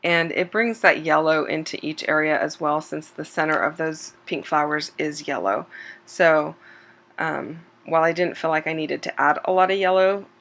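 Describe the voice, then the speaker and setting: A woman with a notably low voice narrates her scrapbooking process video in a loose, chatty way. She sounds a little hoarse, as if she is losing her voice, and fills her talk with ums and quick self-corrections. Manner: relaxed and chatty, with frequent um fillers and self-corrections. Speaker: scrapbooking channel host. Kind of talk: casual voice-over narration of a craft process video.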